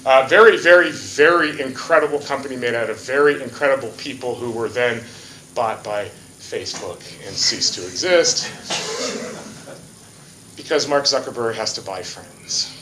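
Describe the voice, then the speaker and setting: A man's voice: mid-range voice, normal speed, pushed and bright, a little hiss or hum. A man from Hawaii giving a casual, joking conference talk, full of fillers like "uh" and "um". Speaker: conference speaker. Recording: echoey hall.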